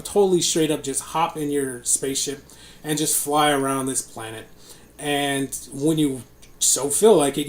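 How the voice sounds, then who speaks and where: A young man hosting a casual podcast, rambling with fillers and laughing often. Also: fairly high pitch. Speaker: podcast host. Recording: echoey room, faint noise.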